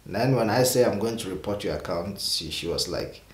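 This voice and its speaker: A man's voice: lowish voice, lively intonation, moderate pace, roomy sound. A young man talking casually to camera in a small room, exasperated and rambling, often restarting his words. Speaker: vlogger. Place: small indoor room with purple lighting.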